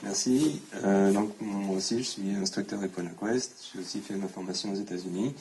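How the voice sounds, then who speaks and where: Halting man giving a conference talk. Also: on the low side, darkish tone, a little hiss or hum, low-quality recording. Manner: casual, halting, many fillers. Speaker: equine coaching instructor.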